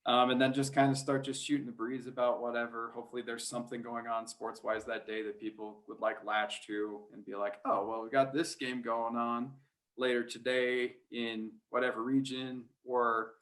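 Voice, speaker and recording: A man's voice: mid-range voice, little pitch movement, normal speed, fairly bright tone, rough recording. A man speaking as an interview guest, a sports announcer telling anecdotes in an easygoing, chatty, self-deprecating way. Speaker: podcast guest, high school sports play-by-play announcer.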